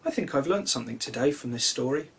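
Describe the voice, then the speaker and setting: A man in his thirties reading children's stories expressively, with deliberate dramatic pauses, recorded in a living room. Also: mid-range voice, lively intonation, creaky, a bit bright, a little hiss or hum. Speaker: children's storyteller. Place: small cluttered living room, indoors.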